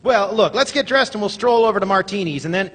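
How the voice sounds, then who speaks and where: A man's voice: high-pitched, lively intonation, fast talker, fairly bright tone, slightly rough, full character voice. An actor playing a despairing, sarcastic, bewildered family man in an old-time radio drama, stammering with folksy exasperation. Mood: flippant. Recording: echoey theater stage with long reverb.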